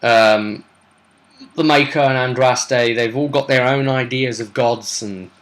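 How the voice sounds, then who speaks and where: A man's voice: mid-range voice, unhurried, a bit bright. A man hosting a tabletop role-play vidcast as game master, casually recapping a session with rambling, chatty asides.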